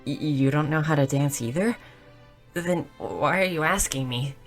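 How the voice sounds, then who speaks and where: A woman voicing a shy, nerdy, curious young goblin girl in a roleplay audio drama, speaking softly and earnestly with small stammers. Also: deep voice, moderate pace, faint music behind. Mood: nervous, flustered. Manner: soft, hesitant, stammering, earnest.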